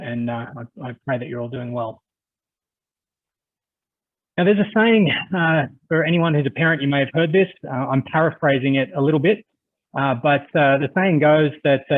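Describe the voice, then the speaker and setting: Chatty Australian man on a tinny call. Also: mid-range voice. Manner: friendly, chatty, with frequent "uh" fillers. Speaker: conference presenter. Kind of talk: webinar presentation. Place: home office on a video call. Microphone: video call. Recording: tinny phone-like video call audio.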